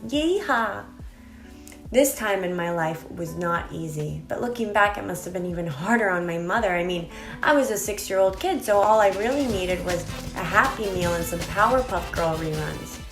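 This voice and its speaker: Brief: young woman, wry personal storyteller, roomy echo, light music bed. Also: mid-range voice. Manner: wry, self-mocking. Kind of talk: comedic personal storytelling narration.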